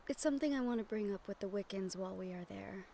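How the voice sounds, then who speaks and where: A woman playing a gentle, earnest young magic-wielder in an audio roleplay, soft-spoken and measured with thoughtful pauses. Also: mid-range voice, creaky, a little hiss or hum, lightly acted. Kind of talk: audio roleplay acting, addressed to the listener. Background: ambient sound effects.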